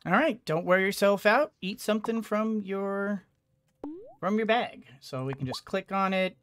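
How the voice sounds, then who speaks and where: A middle-aged man hosting a casual let's play at a close mic. His voice sits a little high, and he mutters his thoughts aloud over faint game music. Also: a little slow, a bit bright. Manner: thinking aloud, muttering to himself. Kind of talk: casual gameplay commentary. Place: small indoor home room.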